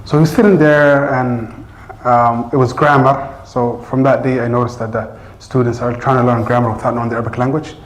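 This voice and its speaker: A man teaching Arabic addresses his class in a relaxed, unscripted way, his speech full of "um"s and self-corrections. His voice sits in an ordinary middle pitch. The sound carries the roomy echo of a large community-center room. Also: fairly quick. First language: English. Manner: earnest, loose speech with many fillers and restarts.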